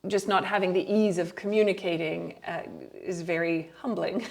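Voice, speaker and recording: A middle-aged woman from Canada whose first language is French, talking expressively in a relaxed interview, with frequent laughs and thoughtful restarts. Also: fairly low pitch, normal speed, creaky. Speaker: guest. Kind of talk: casual conversational interview.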